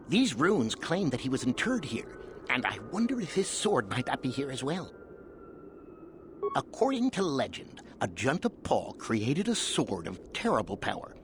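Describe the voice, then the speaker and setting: Keen male tomb researcher for a sci-fi game: highish, lively, scholarly, over heavy buzzing noise. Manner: enthusiastic, scholarly, chatty. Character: eager male Sith scholar studying ancient tombs. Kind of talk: video game dialogue acting.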